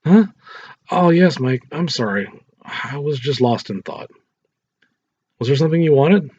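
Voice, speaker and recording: A man acts out a young woman in a storybook drama, wounded yet tender. The voice is lifted above his own and slightly husky. The recording is muffled. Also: a little high, animated, a little slow, darkish tone, voice pushed up. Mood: distracted, tender. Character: distraught young woman, wounded and defiant, later distracted mother.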